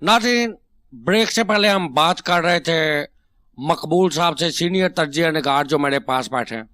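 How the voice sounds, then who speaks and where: A man's voice: on the high side, normal speed, sharp tone, no room sound, studio quality. A man with a Pakistani Punjabi accent acting a stubborn, bossy, argumentative host on a parody political talk show. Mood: formal. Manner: interrupting, bossy, long-winded.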